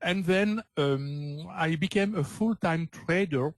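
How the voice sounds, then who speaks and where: A man's voice: a little high, takes it slowly, dry recording. Older belgian guy, french accent, lots of uhs, dry close mic on a webinar. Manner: hesitant, frequent uh fillers, non-native phrasing. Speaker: trading coach presenting a webinar. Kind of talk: webinar presentation.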